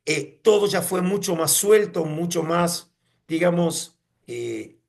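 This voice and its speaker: A man's voice: on the high side, animated, slow pace, creaky, darkish tone. A man with an Argentine accent telling anecdotes in conversation as an interview guest, in an emphatic way with frequent tag questions. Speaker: museum curator, interview guest.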